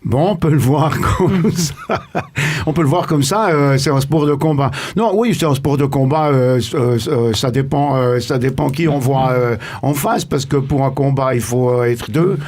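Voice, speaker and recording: A man from Switzerland with a Swiss French accent, a singer chatting as a radio guest, halting and repeating himself. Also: medium pitch, darkish tone. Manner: halting, repeats words, many fillers. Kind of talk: casual chat. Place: radio studio.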